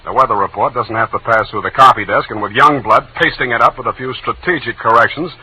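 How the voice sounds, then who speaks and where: Sharp, rough-voiced radio private eye. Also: male voice, medium pitch, fast talker, exaggerated voice, low-quality recording. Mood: tough, accusing. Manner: slangy, wry, clipped tough-guy talk. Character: wisecracking hard-boiled male private detective, sardonic, easily exasperated. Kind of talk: 1940s radio detective acting. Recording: old radio drama recording.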